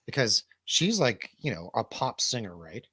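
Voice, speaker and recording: A man's voice: mid-range voice, big pitch swings, normal speed, a crowd in the background. A man co-hosting a livestream in casual banter, with a rambling, slangy, jokey way of talking. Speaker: livestream co-host.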